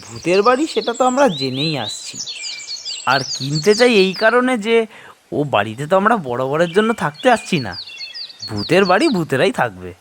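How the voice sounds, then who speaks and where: Higher-voiced man, animated story narrator. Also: moderate pace, background noise. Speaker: first-person story narrator. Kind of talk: scripted dramatic horror story narration.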